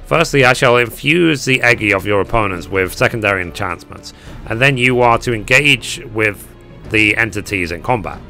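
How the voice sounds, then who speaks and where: Guy reading a prim game examiner, formal, bit hoarse, bright edge. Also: lowish voice, expressive, moderate pace, soft background music.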